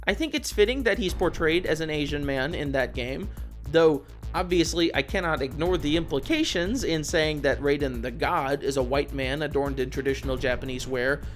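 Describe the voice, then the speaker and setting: A video essayist delivering scripted commentary with informal, wry asides. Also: medium pitch. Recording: music behind the voice. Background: background music.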